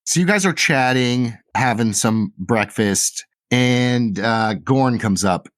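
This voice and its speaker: A man narrating a tabletop game as game master, relaxed and full of fillers. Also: medium pitch, slow pace.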